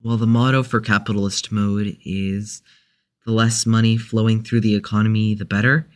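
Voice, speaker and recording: A man playing a mild-mannered, unsure young newcomer with a halting delivery in an audio drama. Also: fairly low pitch, level tone, lightly acted. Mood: uncertain.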